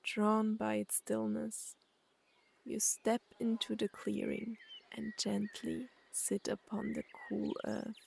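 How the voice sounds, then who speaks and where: A woman narrating a guided meditation and story in an unhurried, soothing way, with long pauses between phrases. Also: mid-range voice, completely flat delivery, vocal fry, darkish tone, husky.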